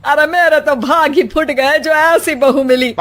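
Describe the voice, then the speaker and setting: A woman's voice: high voice, fairly even intonation, a little slow, fairly bright tone, pitched above the performer's own voice. A woman voicing a grumbling, quarrelsome older mother-in-law who scolds indignantly in a comic family story.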